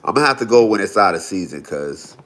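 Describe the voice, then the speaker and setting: A man's voice: deep voice, lively intonation, a little fast, a bit bright. A man, talking casually and loosely to viewers on a livestream. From Florida. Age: 40s. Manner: loose, chatty, lots of "y'all" and "right?". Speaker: livestream host.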